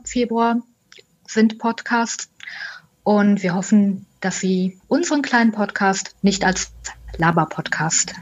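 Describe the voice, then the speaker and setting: A woman, speaking conversationally as a podcast interviewer. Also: mid-range voice. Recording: slightly dull, lo-fi sound.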